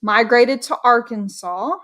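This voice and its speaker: A woman from Dallas talks through an easygoing interview with a bright, sharp-edged voice sitting a touch high. Her delivery is bubbly and chatty, full of ums and quick asides. She comes across as a friendly paranormal investigator trading stories. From Dallas, Texas. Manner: chatty, bubbly, filler-heavy. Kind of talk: casual interview chat.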